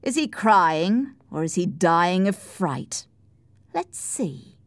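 A woman voicing a defiant, brooding, scornful man with drawn-out, mocking taunts in an audiobook story. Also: lowish voice, big pitch swings, dry recording, heavily acted. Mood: contemptuous. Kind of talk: audiobook character acting.

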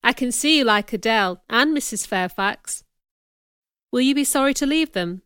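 A woman voicing a commanding, ardent gentleman in a storybook, with an earnest, emphatic delivery. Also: on the high side, expressive, moderate pace, clear, resonant tone, no room sound, studio quality. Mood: friendly. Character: Mister Rochester, commanding, ardent gentleman in love.

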